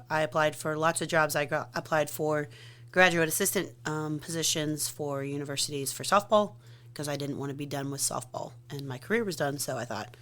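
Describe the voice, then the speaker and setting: A woman in her thirties, a softball coach, chatting casually and self-deprecatingly in a podcast interview. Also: deep voice. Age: 30s.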